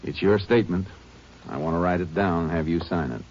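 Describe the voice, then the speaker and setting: An actor playing a calm, steady Texas Ranger who patiently questions a witness in a 1950s radio drama. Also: male voice, sits low, fairly quick. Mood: steady questioning. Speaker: narrator and lead. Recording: dull old radio broadcast with faint hiss.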